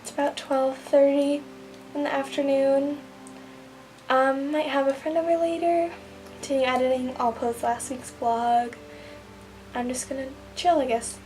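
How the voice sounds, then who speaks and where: Teen girl, vlogger, casual diary chat, lots of ums, slightly high voice. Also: steady tone, measured pace, darkish tone, faint music behind, low-quality recording. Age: teens. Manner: chatty, lots of ums and likes. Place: at home.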